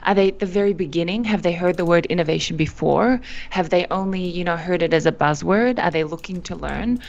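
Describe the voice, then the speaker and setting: A young woman from Australia answering interview questions, animated, with frequent fillers and restarts. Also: fairly low pitch, a little fast, creaky, dull audio. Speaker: guest, company founder. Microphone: video call.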